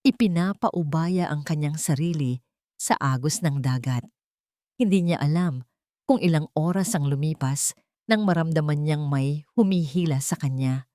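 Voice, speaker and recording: Female storyteller, lower register, deliberate dramatic delivery, close dry recording. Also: dark tone, no room sound. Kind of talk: scripted dramatic story narration.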